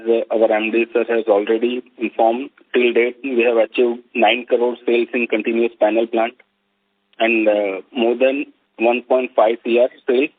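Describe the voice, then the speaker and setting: A man with an Indian accent formally reading out financial results in short phrases on an earnings call, as a company finance executive. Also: mid-range voice. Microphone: telephone conference call. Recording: narrowband, tinny phone line.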